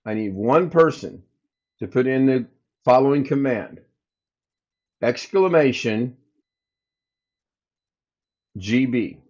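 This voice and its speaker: A man with a booming voice hosting a casual livestream, chatting with viewers and thinking aloud as he troubleshoots. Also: lively intonation, takes it slowly, long pauses, darkish tone, muffled recording. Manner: rambling, thinks aloud while fixing tech. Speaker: livestream host. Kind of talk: casual live chat with viewers.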